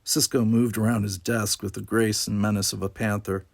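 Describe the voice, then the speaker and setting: A man reading a story aloud as an expressive audiobook narrator. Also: lowish voice, moderate pace, vocal fry, no echo. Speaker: amateur audiobook reader.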